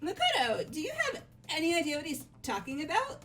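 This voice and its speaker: A woman's voice: sits high, sing-song, brisk, soft background music, pitched above the performer's own voice. A woman voicing a cheerful, teasing teenage idol girl in a game. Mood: upbeat. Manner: bright, teasing, upbeat.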